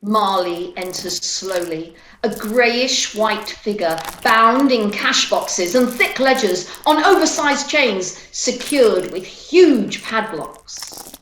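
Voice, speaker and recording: A woman's voice: medium pitch, animated, slow, fairly bright tone, slight background noise. Theatrical woman narrator in an echoey room. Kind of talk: dramatic scripted storytelling.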